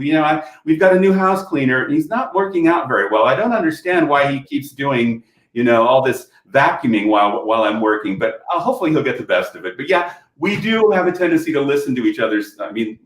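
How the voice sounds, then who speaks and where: A man chatting on a panel from home over a video call, rambling with lots of fillers and restarts. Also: medium pitch. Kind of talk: casual panel chat. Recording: echoey room.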